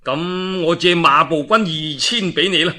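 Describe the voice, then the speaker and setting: A man's voice: on the high side, unhurried, sharp tone. A man with a Cantonese accent voicing a pragmatic warlord ally in a storybook tale, sighing and colloquial, reluctant yet obliging. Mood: dissuading, relenting.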